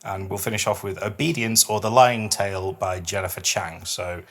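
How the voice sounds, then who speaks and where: A man's voice: lowish voice, fairly even intonation, normal speed, a bit bright. A middle-aged man co-hosting a podcast, chatting casually and reading poetry in a self-deprecating, explanatory way. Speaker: podcast co-host.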